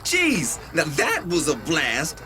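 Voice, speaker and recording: A young American sidekick in a video game, cocky and slangy. His pitch swings wildly as he teases, with loud music behind him. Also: wildly swooping pitch, slow, heavily acted. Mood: excited teasing. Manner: slangy, teasing. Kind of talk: animated video game acting.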